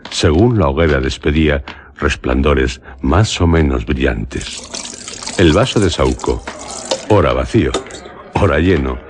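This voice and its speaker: A man with an accent from Spain playing an educated traveller who narrates a gothic tale in a solemn, measured, literary radio drama manner. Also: very deep voice, slight background noise. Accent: Spanish from Spain.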